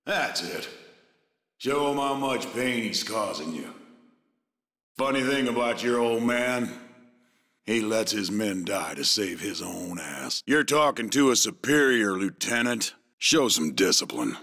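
A ruthless military villain from a video game. His gravelly voice is hard and forceful, swinging widely as he taunts and gives orders. He sounds menacing and vengeful, every bit the commander. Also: lowish voice, animated, unhurried, full character voice. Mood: sinister, taunting. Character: ruthless gruff male soldier turned vengeful villain.

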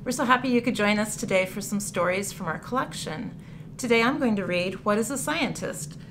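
A woman reading a picture book aloud for children, with deliberate, clearly phrased storytelling delivery. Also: medium pitch. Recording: clear background noise, room ambience.

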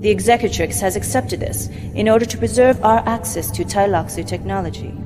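Actress as cold female adviser, medium pitch, formal, measured, sci-fi game briefing, clear music underneath. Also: close to an everyday voice. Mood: clinical. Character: cold, formal woman adviser of a scheming merchant house. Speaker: mission briefing officer. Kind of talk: scripted science fiction game briefing. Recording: music behind the voice.

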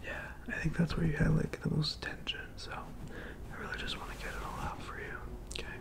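A young man murmurs in a gentle, reassuring ASMR style, slipping in and out of a whisper. His voice is fairly high for a man, with heavy creak. He speaks up close, as if giving personal attention. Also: completely flat delivery, brisk. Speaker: ASMR performer. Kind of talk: soft-spoken ASMR personal attention roleplay. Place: small dim room indoors.

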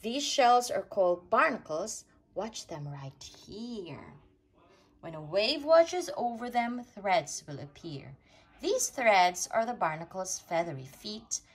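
Woman doing storytime for little kids, kinda husky voice, sing-song and really up and down. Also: medium pitch, very animated, takes it slowly. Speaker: storytime reader. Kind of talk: read-aloud of a rhyming children's picture book.

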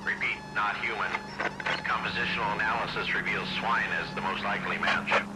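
A man's voice: lowish voice, flat delivery, fairly quick, loud music behind the voice. A man playing a formal, matter-of-fact police radio dispatcher in a video game, clipped and official over the radio. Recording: very noisy car radio transmission.

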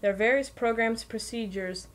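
A woman's voice: on the high side, moderate pace. A woman reading scripted documentary narration in a plain, informational way, occasionally stumbling over words. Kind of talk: scripted narration, read aloud.

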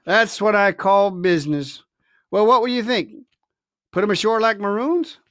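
A man's voice: high voice, normal speed, a bit bright, dry recording, clean studio sound. A man reading a storybook, voicing a sly, domineering pirate ship's cook with hectoring, colloquial sailor speech. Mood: cold, menacing.

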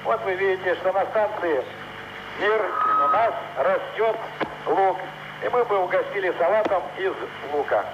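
A man speaks from a space station cabin through a headset, pausing as he searches for his words. His voice sits high and reaches the listener muffled, under a thick hiss of static. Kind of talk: live answers from orbit. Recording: muffled noisy space link.

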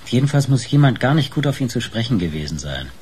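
An actor playing a sardonic, dogged investigator with a teasing, insistent manner in a German radio crime play. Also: male voice, medium pitch, very animated, fast, a little mellow, slight background noise, lightly acted. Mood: insistent, probing. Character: sardonic, dogged male investigator pressing for answers.

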